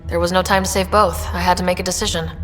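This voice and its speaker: A woman's voice: fairly low pitch, little pitch movement, fast talker, a bit bright, long reverb tail, soft background music, lightly acted. An actress playing a resolute young woman who leads space explorers in a video game. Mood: firm, earnest. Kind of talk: video game dialogue acting.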